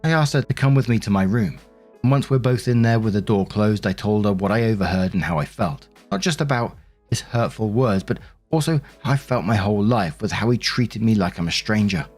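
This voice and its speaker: A man's voice: lowish voice, expressive, brisk, darkish tone. A man reading online stories aloud and commenting casually in an earnest, sympathetic manner. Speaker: host narrator of a Reddit stories channel. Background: background music.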